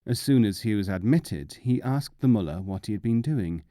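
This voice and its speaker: A man's voice: fairly low pitch, lively intonation, moderate pace, warm tone, no echo, clean studio sound. A man reading as an audiobook narrator, in scripted storybook narration.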